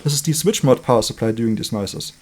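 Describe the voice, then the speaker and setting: A man giving an unscripted hands-on electronics demonstration as a hobbyist, thinking aloud in short, halting remarks. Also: mid-range voice, animated.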